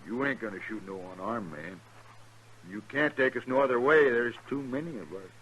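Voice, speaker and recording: Sharp-voiced, cocky western mob ringleader. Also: male voice, mid-range voice, lively intonation, normal speed, slightly rough. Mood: taunting. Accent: American. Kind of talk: 1950s radio western acting. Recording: noisy old radio broadcast.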